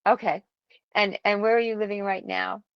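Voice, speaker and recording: A woman's voice: medium pitch, moderate pace, no echo, lo-fi sound. A woman who hosts the interview, asks questions and restates the guest's answers back to her. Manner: prompting, rephrases the guest's answers. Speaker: interviewer, host.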